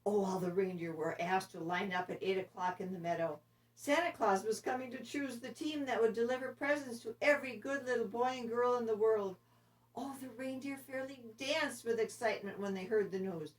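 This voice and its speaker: A woman narrating a children's story expressively, with deliberate, dramatic phrasing. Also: on the high side. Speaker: storybook reader. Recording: distant microphone.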